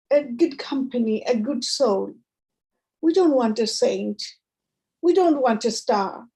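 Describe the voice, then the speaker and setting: A woman with a Bengali accent reading her poetry and introducing it to listeners in short, deliberate phrases. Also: a little high, dark tone, poor audio. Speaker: poet.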